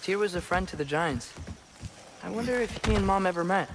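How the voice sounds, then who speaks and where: Youthful, high-voiced boy adventurer. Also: a little fast, noisy background. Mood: musing. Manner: chatty, inquisitive, youthful. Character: curious, eager teenage boy adventurer. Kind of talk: video game acting.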